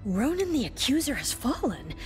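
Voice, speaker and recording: A woman's voice: high voice, big pitch swings, normal speed, soft background music. A woman playing a resolute warrior queen in a video game, with formal, commanding, stately delivery. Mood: regal, resolute.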